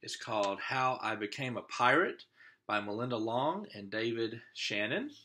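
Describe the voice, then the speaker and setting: A man's voice: medium pitch, lively intonation, bright, forceful tone, slight background noise. A man, an elementary teacher, reads a children's picture book aloud and breaks off for casual asides about the pictures. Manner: pauses to comment on the pictures.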